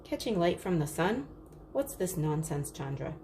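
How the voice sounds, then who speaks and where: A woman's voice: lowish voice, big pitch swings, moderate pace, mellow, a little hiss or hum. Woman voicing a stern, skeptical father. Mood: stern, cross. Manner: firm, questioning.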